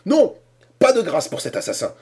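A man voicing a furious old English gentleman who rants shrilly in outrage. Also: sits high, huge pitch swings, normal speed, breathy edge, voice pushed up, heavily acted. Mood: vengeful.

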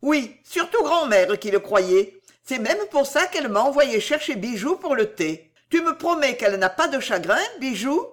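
A woman voicing an artless, devoted young boy who chatters and blurts things out excitably in a storybook reading. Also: sits high, moderate pace, hardly any pauses, voice pushed up. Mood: blurting.

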